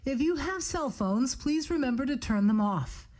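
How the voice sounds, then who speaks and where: A woman's voice: high-pitched, expressive, moderate pace, a little mellow. A woman reading a scripted pre-show announcement as a theater announcer.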